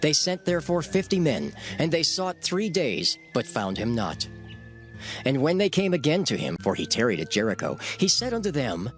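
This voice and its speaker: A man's voice: fairly high pitch, big pitch swings, moderate pace, faint music behind. A man narrating dramatized scripture, telling the archaic text as formal storytelling.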